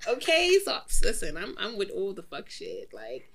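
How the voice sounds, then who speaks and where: A woman's voice: mid-range voice, wildly swooping pitch, normal speed, vocal fry, a bit bright. Brash woman podcast host, loose casual chat, faint background hiss. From Dominican, Cuban and Jamaican heritage. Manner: brash, swears freely, teasing, lots of "like".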